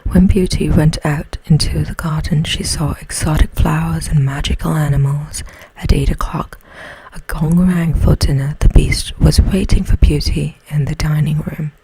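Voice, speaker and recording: A woman whispers a bedtime story in a hushed, breathy ASMR style, close to the mic. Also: low-pitched, steady tone, noisy background. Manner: slow, hushed, soothing delivery.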